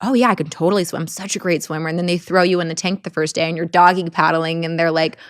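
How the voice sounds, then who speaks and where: A young woman, a guest actress, chatting casually with lively, laughing, animated storytelling. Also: lowish voice, quick pace. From United States. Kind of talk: casual chat.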